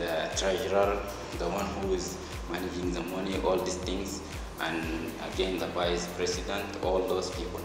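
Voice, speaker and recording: Deep-voiced young man in an echoey hall. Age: young adult. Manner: halting, pausing between short phrases. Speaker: student council president. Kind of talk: formal introductory speech to camera. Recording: echoey hall, music behind.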